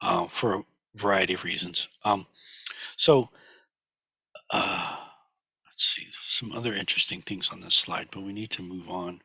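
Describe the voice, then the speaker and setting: A man lecturing over slides, a little hoarse and husky while he gets over a cold. His voice is low-pitched yet bright and forward. He talks haltingly, with many fillers and restarts. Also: fairly even intonation, dry recording. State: recovering from a cold, slightly hoarse. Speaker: teacher of a sutra class.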